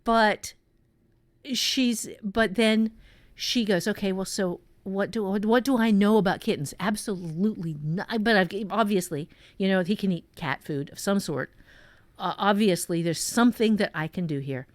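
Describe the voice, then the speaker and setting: A woman improvising a story in a conversational writing lesson, thinking aloud in a halting way with repeated phrases. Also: mid-range voice, dry recording. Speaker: author and writing teacher.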